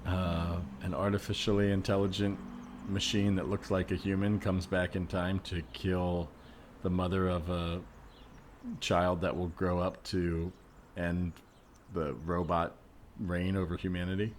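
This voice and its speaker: Guy doing an uneasy everyman in improv comedy, deeper voice, deadpan and hesitant, trying to be reasonable, bit of noise behind. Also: a little slow. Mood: matter-of-fact. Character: skeptical, uneasy ordinary neighbor, patiently reasoning. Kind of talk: improvised comedy podcast scene.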